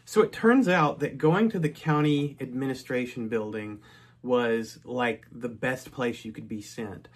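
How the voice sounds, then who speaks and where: A man's voice: mid-range voice, unhurried. A middle-aged man from North Texas, casually telling a personal story in a chatty way, with frequent restarts and asides.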